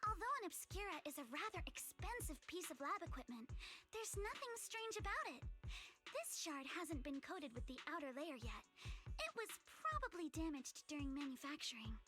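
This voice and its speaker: A woman's voice: high-pitched, sing-song, moderate pace, bright, forceful tone, soft background music, heavily acted. An actress playing a proud, proper young noblewoman scholar in a video game, formal and precise. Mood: informative. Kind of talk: English video game voice acting.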